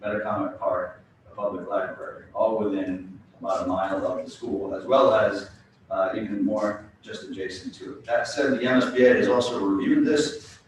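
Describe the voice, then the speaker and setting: A man with a lowish voice presents at a public forum and works through his slides in a measured way. He is recorded off-mic, so his voice rings around a very echoey meeting hall, with faint room hum underneath. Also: steady tone, recorded from a distance. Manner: measured, reads slides and fields interruptions. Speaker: school building committee presenter.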